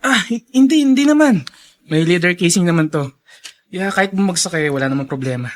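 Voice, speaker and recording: A man playing a kind, easygoing young plantation gentleman in a radio drama, friendly and reassuring, mixing in English words. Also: high voice, expressive, fairly quick. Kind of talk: Filipino radio drama acting.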